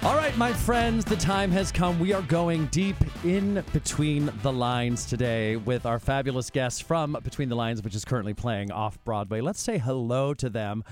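A man's voice: medium pitch, normal speed, hardly any pauses, over music. A man hosting a podcast in a chatty, theatrical style, gushing and playful as he talks. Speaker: podcast host. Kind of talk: chatty podcast monologue and guest introductions.

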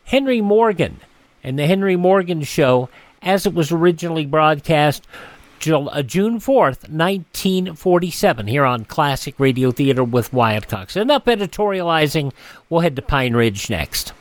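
A man hosting a radio show, giving conversational commentary on classic radio in a halting, reflective way full of asides. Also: fairly high pitch, expressive, dry recording.

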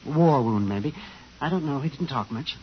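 An actor playing a fussy, evasive sales manager in a 1950s radio drama, officious and easily flustered. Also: male voice, medium pitch, lively intonation, moderate pace, lightly acted. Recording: dull, noisy 1950s radio recording.

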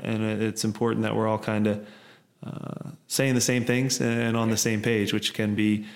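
A male soccer coach answers interview questions in a low, level voice that hardly moves in pitch. He rambles along, stacking up fillers and restarting his sentences. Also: flat delivery, normal speed, creaky. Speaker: head coach of a soccer club.

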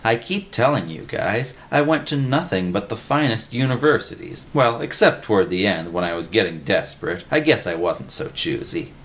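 A man's voice: on the low side, lively intonation, moderate pace, few pauses, a bit bright. Man as a pompous, learned bum lecturing. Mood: boastful. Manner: lecturing, wordy, pedantic. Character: pompous, learned male bum, bossy know-it-all.

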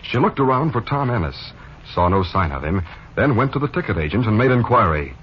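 A man's voice: lowish voice, big pitch swings, fairly quick, a little hiss or hum. An American man narrating a 1950s radio drama as its announcer. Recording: muffled 1950s radio recording.

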